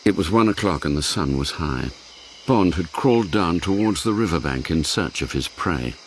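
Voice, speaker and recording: A man's voice: sits low, expressive, moderate pace, slight background noise. A man giving dramatic scripted narration of an action story for radio.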